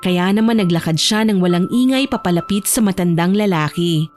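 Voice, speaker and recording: A woman's voice: fairly low pitch, fairly quick, a little mellow, faint music behind. A woman narrator delivering dramatic scripted storytelling for an audio drama.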